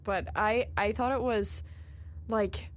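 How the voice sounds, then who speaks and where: A young woman from New Jersey chats about films she has seen, animated and full of fillers. Her pitch is mid-range. A faint hiss sits under the recording. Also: slow. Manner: chatty, animated, says like a lot. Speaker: podcast co-host. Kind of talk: casual film review chat.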